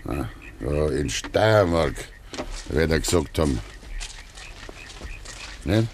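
An actor playing an older, slow and brooding farmer in a Bavarian dialect radio play from the 1950s, musing in drawn-out phrases. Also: male voice, a bass voice, animated, heavily acted. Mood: musing, wistful. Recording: 1950s radio recording, faint noise.

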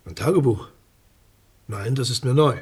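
An actor playing a formal, guarded public prosecutor in a radio crime drama, officious and sceptical, with a husky voice. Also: male voice, medium pitch, normal speed, darkish tone.

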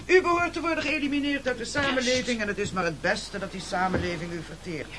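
A man's voice: very high-pitched, fast. A male actor playing a domineering, pompous castaway boss in a radio play, speaking formally. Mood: commanding. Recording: noisy old radio recording.

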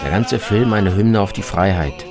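A man's voice: fairly low pitch, moderate pace, loud music behind the voice. A man narrating a scripted, literary travel piece for radio as its reporter.

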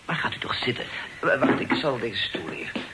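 A man's voice: medium pitch, big pitch swings, fairly quick, close to an everyday voice. A man playing a humble, impoverished poet in a period radio play, obsequious, fussy and apologetic. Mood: eager, hospitable. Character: poor, humble, fussily courteous male poet in garret lodgings. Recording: noisy old radio play tape.

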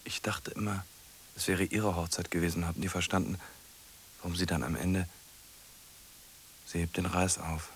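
An actor in a radio play playing a lonely, brooding man who mutters haltingly to himself in fragments. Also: sits low, level tone, fairly bright tone, slight background noise. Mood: absorbed muttering. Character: lonely, brooding man muddling through translating a pop song.

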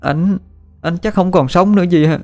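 A man voicing a sick, frightened young girl in a Southern Vietnamese accent, halting and pleading in an audio story. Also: sits high, voice pushed up. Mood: pained, pleading. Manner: halting, pleading, repeats words. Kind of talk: dramatised audio story narration.

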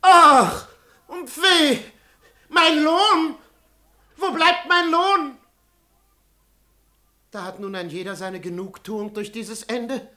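An actor playing an anxious, moralizing manservant in a classical radio play, pleading and plaintive. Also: male voice, very high voice, takes it slowly, pauses often, sharp tone, full character voice. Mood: wailing, lamenting.

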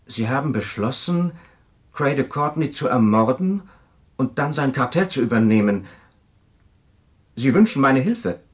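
A man's voice: mid-range voice, lively intonation, close to an everyday voice. An actor playing a formal, probing, insistent male telepath adviser in a 1970s radio drama. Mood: grave, insistent. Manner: deliberate, probing.

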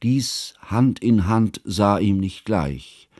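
A grave, learned older man narrates a literary radio play in a deep-set, low register. His delivery is solemn, formal and measured, with quiet sorrow beneath it. The sound is dry, with no room around the voice. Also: no room sound. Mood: grave, tender.

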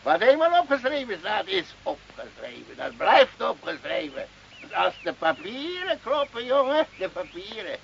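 A man's voice: very high voice, wildly swooping pitch, unhurried, gravelly, a bit bright, full character voice. An actor playing a sly, rambling old male goatherd in a radio drama, stammering, repeating himself and chuckling. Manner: stammering, repetitive, frequent chuckling and questioning tags. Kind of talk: Dutch radio drama acting. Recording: muffled old radio recording, faint noise.

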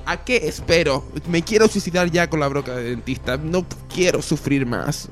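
A man's voice: a little high, moderate pace, close to an everyday voice. A man playing a hapless, suicidal little boy in a comic radio sketch, with overwrought, theatrical despair. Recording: music playing behind.

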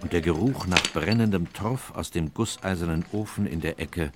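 An actor playing a loyal, worried Victorian gentleman who recounts events in an earnest, measured way for a radio drama. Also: low-pitched, slight background noise, lightly acted. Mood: uneasy narration. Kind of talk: radio drama narration and dialogue. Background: music.

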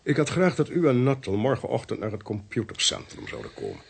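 An actor playing a self-assured, demanding investigator in a radio drama, formal and wry, over a noisy recording. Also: male voice, mid-range voice, huge pitch swings, a little fast, creaky. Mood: politely demanding. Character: smooth, self-assured, demanding male investigator with technical gadgets.

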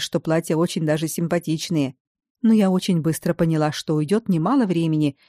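A woman narrating a first-person audiobook at a steady, even pace on a close studio mic. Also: lowish voice, no room sound.

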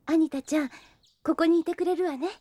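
A woman's voice: extremely high pitch, steady tone, brisk, mellow, no echo. A woman playing a kind, protective young older sister in an anime audio drama, polite and motherly. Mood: gentle, caring.

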